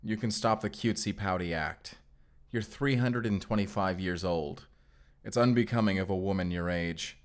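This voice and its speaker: A man's voice: sits low, moderate pace, lightly acted. A man playing a blunt, irritable, hot-headed vampire slayer with a curt, exasperated manner in an audio roleplay. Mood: irritated, curt. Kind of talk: cinematic audio roleplay acting.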